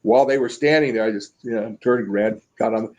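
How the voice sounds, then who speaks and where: A man in his seventies telling stories as a guest in an interview, rambling and halting with many restarts, speaking over a video call from a room at home. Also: lowish voice, a little mellow, lo-fi sound. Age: 70s. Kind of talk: casual storytelling.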